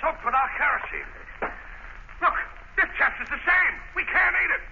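Gravelly, excitable tough gunman. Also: extremely high pitch, moderate pace. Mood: alarmed. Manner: blunt, slangy, excitable. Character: tough, suspicious male gunman henchman. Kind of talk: 1930s radio acting. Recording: dull, noisy 1930s radio recording.

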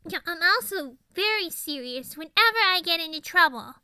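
A woman's voice: extremely high pitch, lively intonation, moderate pace, pushed and bright, no room sound, exaggerated voice. A woman voicing a zany, boastful ten-year-old girl pony in a cartoon monologue, chatty and rambling with melodramatic emphasis. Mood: excited, insistent. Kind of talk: improvised cartoon character monologue.

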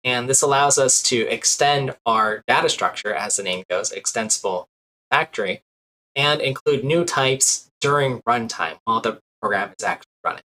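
A man's voice: medium pitch, lively intonation, moderate pace, bright, forceful tone, poor audio. A man in his thirties teaching a programming lesson while sharing his screen, explaining step by step with frequent "uh" fillers, at a desk in a small room. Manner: explanatory, many "uh" fillers while working through code. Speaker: programming instructor. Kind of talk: screen-share coding lesson.

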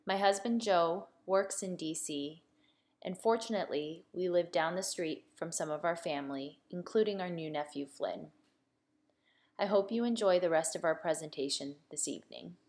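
A woman's voice: fairly low pitch, moderate pace, a little mellow. A woman in her thirties who grew up in Buffalo, New York, an eye surgeon, introducing herself in a friendly way. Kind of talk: read-aloud self-introduction.

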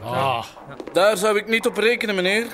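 A man's voice: sits high, very animated, normal speed, bright, forceful tone, exaggerated voice. A man voicing a stern, officious customs officer in a comic-book read-aloud, over background noise. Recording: noisy background with vehicle sounds.

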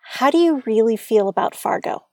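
Higher-pitched woman interviewer, dry sound. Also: lively intonation, normal speed, mellow, no room sound. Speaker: interviewer, host. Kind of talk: casual radio interview.

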